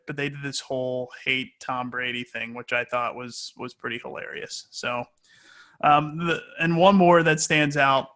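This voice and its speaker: A man's voice: mid-range voice, bright, forceful tone. A man speaking as an announcer being interviewed, answering casually and hesitantly as he thinks aloud. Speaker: sports play-by-play announcer, interview guest.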